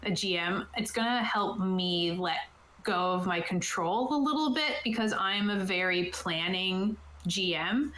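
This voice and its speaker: A woman's voice: medium pitch, fairly bright tone. Chatty woman in a roomy, echoey space. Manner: chatty, enthusiastic, thinking aloud. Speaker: game master and show host. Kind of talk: casual chat about tabletop roleplaying. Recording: roomy echo, faint background noise.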